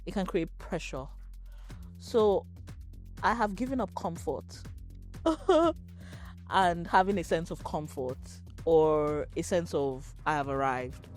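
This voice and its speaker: Woman, guest on a founder show, slow and careful, lots of little pauses. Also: lowish voice, animated, faint music behind. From Nigeria. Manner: measured, thoughtful, pauses with fillers. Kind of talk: reflective interview answers.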